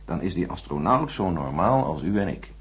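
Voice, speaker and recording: An actor playing a coolly official, condescending chief space engineer with a husky voice and a formal, patronising way of talking. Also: male voice, deep voice, very animated, normal speed, exaggerated voice. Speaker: radio drama actor. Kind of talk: radio play dialogue.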